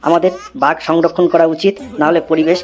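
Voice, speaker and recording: Man voicing a rattling-fast cheeky cartoon schoolboy. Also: on the high side, level tone, lightly acted. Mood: earnest reciting. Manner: fast rote recitation. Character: cheeky schoolboy reciting memorised essays, unflappable. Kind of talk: comedy cartoon voice-over.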